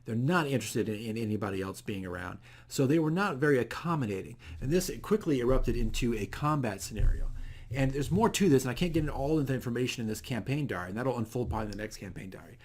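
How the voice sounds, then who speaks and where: A man's voice: medium pitch, animated, quick pace, a little mellow. A man hosting a casual, unscripted video diary as a dungeon master, explaining things in a rambling, self-correcting way. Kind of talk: casual unscripted game session recap.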